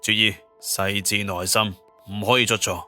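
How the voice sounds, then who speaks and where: A man's voice: fairly low pitch, flat delivery, moderate pace, bright, forceful tone, soft background music. A man playing a commanding hospital director and lead surgeon in a Cantonese audio drama, giving methodical orders with authority. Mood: firm, commanding.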